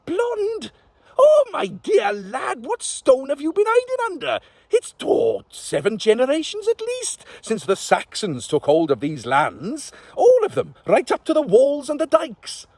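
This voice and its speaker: A man's voice: extremely high pitch, huge pitch swings, a little mellow, dry recording, voice pushed up, exaggerated voice. A man voicing a sweet old witch woman, crowing and laughing as she talks. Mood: amused. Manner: crowing, cackling laughs, drawn-out speech.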